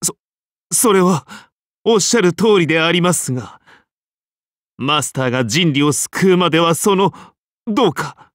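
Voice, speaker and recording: A portly, pompous Roman emperor, speaks as an anime game character with flustered deference. His voice is rather high and very animated, full of formal, pleading honorifics. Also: a little slow, long pauses, no room sound, clean studio sound.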